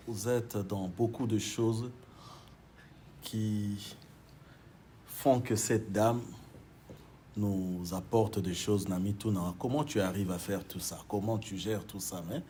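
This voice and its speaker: Deep-voiced talk show host. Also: male voice, mellow, slight background noise. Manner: conversational, switches between French and Lingala.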